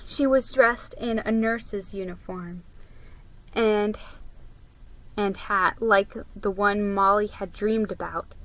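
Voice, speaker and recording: Bright-voiced woman reading a story, unhurried. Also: mid-range voice, poor audio. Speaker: storybook reader. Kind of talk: dramatic reading of a children's story.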